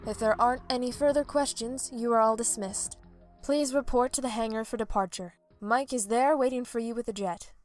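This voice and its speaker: A woman's voice: fairly high pitch, fairly quick, soft background music, lightly acted. A woman playing a composed superior officer, speaking formally and officially in an audio drama briefing.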